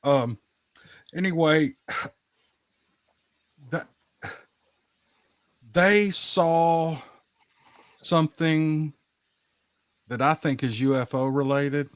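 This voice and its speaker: A man's voice: a little high, very slow, long pauses, dry recording. A man telling anecdotes in casual podcast chat, folksy and given to repeating his phrases for emphasis.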